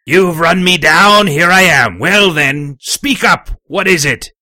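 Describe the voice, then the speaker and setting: A gruff, stubborn sea captain from an audiobook, acted out by a male narrator. He barks in short, blunt, forceful bursts, loud and raised in pitch. The sound is close and dry. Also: animated, slow, dry recording, heavily acted. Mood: defiant, demanding. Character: gruff, bellowing old sea captain, hot-tempered and stubborn. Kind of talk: audiobook character voice.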